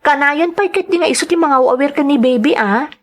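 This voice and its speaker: A woman's voice: high-pitched, fairly quick, natural voice. An actress playing a devoted, sentimental young wife and mother in an audio drama, speaking earnestly and emotively. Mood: affectionate, chatty. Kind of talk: Ilocano audio drama, narration and dialogue.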